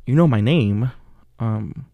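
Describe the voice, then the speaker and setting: A man's voice: mid-range voice, expressive, slow pace, vocal fry, dark tone, no echo. A young man from Puerto Rico, a native Spanish speaker with a Puerto Rican Spanish accent, hosting a solo podcast in an earnest way, with frequent fillers and self-corrections. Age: young adult. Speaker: podcast host.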